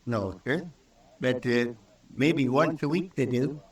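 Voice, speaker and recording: A man plays a simple frontier townsman in a vintage radio western. The delivery is folksy, halting and earnest, at a middle pitch. The old recording is roomy and slightly distant. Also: lightly acted. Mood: fearful, insistent.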